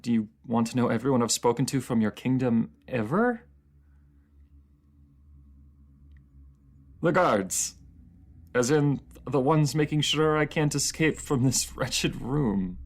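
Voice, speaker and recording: A man plays a captured ruler with a mercenary past in a fantasy audio drama. His mid-pitched voice has a heavy creak to it. He speaks in proud, formal phrases that can turn halting, with bitterness underneath. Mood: flustered, confused. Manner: halting, stammering when flustered, formal fantasy diction. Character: captive enemy ruler, proud and bitter former mercenary, male adult.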